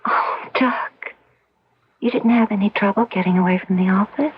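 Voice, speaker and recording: An actress in an old radio drama playing a frail, dying wife, weak, weary and pleading. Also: female voice, mid-range voice, moderate pace, breathy edge, close to an everyday voice. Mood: weary, resigned. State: weak, breathy. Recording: dull lo-fi radio drama recording.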